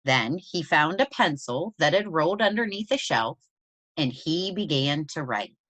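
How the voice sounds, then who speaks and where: Low-voiced woman reading aloud, very lively intonation. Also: measured pace, dry recording, poor audio. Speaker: presenter reading a children's picture book aloud. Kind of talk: expressive read-aloud storytelling for children.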